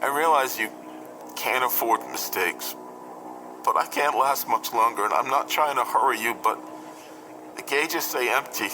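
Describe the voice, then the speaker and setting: A man's voice: medium pitch, normal speed, long pauses, music in the background, lightly acted, poor audio. An actor playing an anxious, frightened father flying a small plane, speaking hesitantly and with strain in old-time radio drama style. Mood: strained, apologetic.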